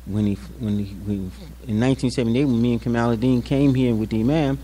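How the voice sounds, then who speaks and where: A man's voice: medium pitch, steady tone, fast, dark tone, low-quality recording. An older man with an American accent speaks unscripted at a commemorative event, telling personal stories in a plainspoken, folksy way like an imam addressing his community. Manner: plainspoken, folksy storytelling with acted-out quotes. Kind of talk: unscripted public speech with personal anecdotes.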